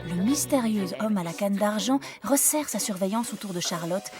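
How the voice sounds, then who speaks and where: A woman's voice: mid-range voice, animated, moderate pace, lightly acted. A woman narrating a French cartoon recap in a scripted storytelling style. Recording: loud music under narration.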